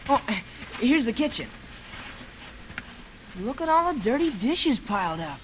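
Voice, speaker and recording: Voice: mid-range voice, big pitch swings, unhurried. A performer playing an eager, nosy young boy in an old radio drama, colloquial and pushy. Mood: curious. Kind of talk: 1940s radio acting. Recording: noisy old radio recording.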